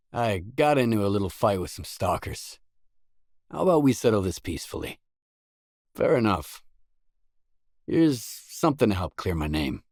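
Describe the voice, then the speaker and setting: Blunt wasteland merc for a game, lowish voice, matter of fact, really dry close sound. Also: male voice, no room sound, heavily acted. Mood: firm, defiant. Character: blunt, self-reliant young male mercenary stalker in a wasteland. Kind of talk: video game dialogue lines.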